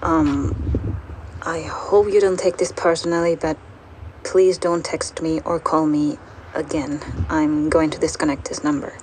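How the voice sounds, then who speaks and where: A woman's voice: low voice, level tone, a little slow, a little mellow, a little hiss or hum, low-quality recording. An actress playing a young woman leaving a detached, hesitant goodbye voicemail.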